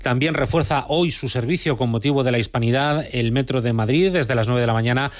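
A man from anchoring a radio news bulletin in a measured, formal read. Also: mid-range voice, dry recording. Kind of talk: scripted news reading. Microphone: studio microphone.

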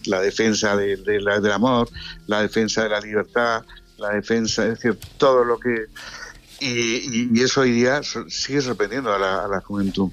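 Earnest older man, halting speech. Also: on the low side, steady tone, fairly bright tone. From Spain. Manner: halting, restarts often, earnest. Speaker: guest, brother of a late singer. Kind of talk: radio interview.

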